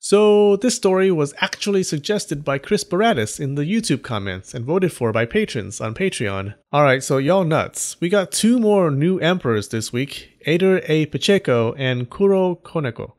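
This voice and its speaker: A man's voice: on the high side, sing-song, normal speed, hardly any pauses. A man narrating scripted stories as a host, in an informal, wry and dramatic way with casual asides. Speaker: storyteller and host of an animated folktale channel.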